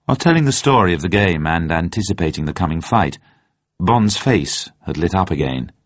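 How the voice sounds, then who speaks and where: A man reading scripted fiction as narrator, with measured, deliberate storytelling. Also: low voice, lively intonation, no echo, muffled recording. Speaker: narrator, reader.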